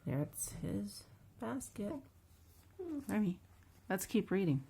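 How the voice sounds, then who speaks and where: A woman reading a bedtime storybook aloud to her child as a mother, pausing for chatty asides and questions to engage the child. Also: medium pitch, animated, creaky, dark tone, slightly rough. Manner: pauses to prompt and engage the child.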